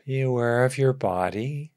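A man leading a guided meditation as a teacher, giving gentle instructions with long pauses between phrases. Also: mid-range voice.

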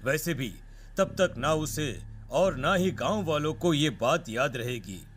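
A man's voice: fairly high pitch, lively intonation, slow, a little hiss or hum. A man voicing a dismissive businessman in a cartoon drama, reasoning his way out of an obligation. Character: dismissive village businessman refusing an old friend.

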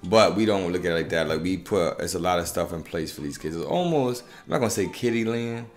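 A man's voice: sits low, animated, fairly quick, faint music behind. A man in his thirties from Connecticut being interviewed, talking casually in colloquial anecdotes with frequent check-in phrases. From Bridgeport, Connecticut. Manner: colloquial, anecdotal, frequent 'you know what I'm saying'. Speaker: basketball coach and youth facility worker being interviewed. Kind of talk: casual podcast conversation. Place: small indoor room. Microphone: desktop condenser microphone.

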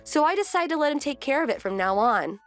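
A woman's voice: high-pitched, sing-song, quick pace, faint music behind. A woman voicing a fed-up young wife who narrates her family grievances in a conversational, pointed way for a manga dub. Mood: firm, reflective.

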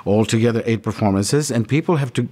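A middle-aged man answering interview questions earnestly, often halting and repeating words as he goes. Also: medium pitch, dark tone. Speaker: theatre programming and marketing manager. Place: large empty theatre auditorium.

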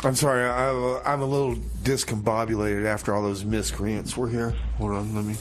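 A man hosting a community radio show with casual, deadpan comic banter, often repeating his phrases. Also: lowish voice, normal speed, background noise. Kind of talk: casual community radio comedy banter, song back-announcing.

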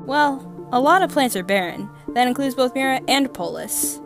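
A woman voicing a sweet, bubbly young female crewmate in a comic dub, with a cutesy, expressive delivery. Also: high-pitched, normal speed, music in the background. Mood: earnest. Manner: cutesy, sing-song, expressive.

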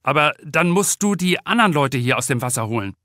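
A man's voice: a little high, animated, fairly quick, bright, forceful tone, no echo, clean studio sound. A man voicing an urgent, blunt young male lifeguard in an audiobook. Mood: alarmed. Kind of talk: audiobook character dialogue.

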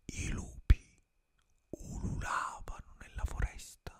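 A young man whispering a bedtime fairy tale for ASMR, close to a studio microphone in a small room. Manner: slow unvoiced whisper, drawn-out pauses. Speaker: ASMR streamer. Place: small dim room with colored string lights. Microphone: close studio mic, earphones.

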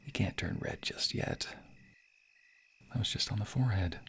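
A man acting a sweet, bashful young waiter on a romantic date in an ASMR roleplay, with a soft, breathy, playful and hesitant delivery. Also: lowish voice, moderate pace, natural voice. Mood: tender, hushed. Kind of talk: ASMR roleplay, intimate scripted boyfriend monologue.

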